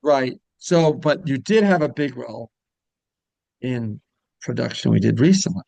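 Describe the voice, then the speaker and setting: Creaky-voiced man hosting a casual interview. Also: medium pitch, lively intonation, unhurried, a little mellow, no room sound. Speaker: interviewer, show host.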